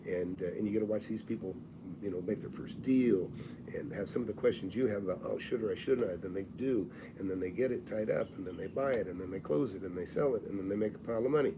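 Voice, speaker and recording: A man's voice: lowish voice, quick pace, noisy background. A man in his sixties and a real estate mentor who hosts a live advice stream, talking in a blunt, folksy, salesy way and often restarting his sentences. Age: 60s.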